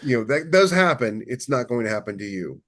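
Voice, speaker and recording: A man's voice: mid-range voice, very animated, fairly quick, fairly bright tone. A man co-hosting a podcast, chatting casually and giving blunt, emphatic writing advice. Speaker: podcast co-host, fiction writer.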